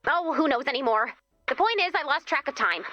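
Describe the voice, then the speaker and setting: A woman voicing a perky, flustered, quirky young heroine in an expressive, comically dramatic video game style. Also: sits high, fairly quick, dry recording, muffled recording, faint music behind. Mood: earnest. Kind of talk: animated video game voice acting.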